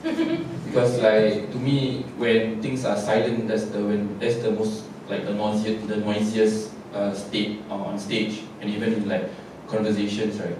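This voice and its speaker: Man, playwright on a stage panel, reflective and rambling, low-ish voice, distant and echoey. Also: a little slow. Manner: relaxed, reflective, many fillers and restarts. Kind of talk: post-show panel discussion. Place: large theatre stage. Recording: echoey hall, distant mic, noise.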